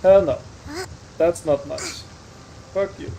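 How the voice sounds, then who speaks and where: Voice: mid-range voice, slow, creaky, warm tone, poor audio. A gamer giving casual, informal gameplay commentary with exclamations and some swearing. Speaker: gaming streamer. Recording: noticeable background noise.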